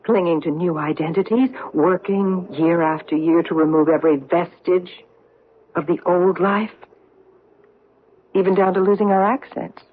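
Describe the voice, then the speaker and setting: An actress in an old-time radio drama playing a vengeful survivor confronting her enemy with deliberate, accusing intensity. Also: fairly low pitch, steady tone, slight background noise, lightly acted. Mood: bitter, haunted. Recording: muffled vintage radio recording.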